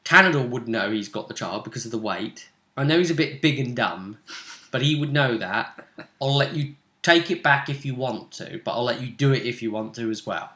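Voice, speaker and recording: Animated man in an echoey room. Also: mid-range voice, normal speed. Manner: chatty storytelling, acts out players' reactions. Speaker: game master recapping a tabletop role-play session. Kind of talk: casual animated chat recounting game events. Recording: roomy, echoey home recording.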